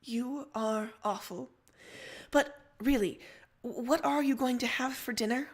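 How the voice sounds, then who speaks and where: A prim teenage girl from a story reading, played by a woman. Her voice is fairly high. She speaks formally and insistently, probing like an amateur therapist. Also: takes it slowly, husky. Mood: exasperated, prying.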